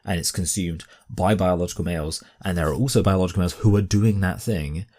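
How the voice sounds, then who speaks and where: Deep-voiced man arguing in commentary. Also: fast talker, dark tone. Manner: emphatic, many fillers and restarts. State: slight cough. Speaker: commentary host. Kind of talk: argumentative commentary on a video.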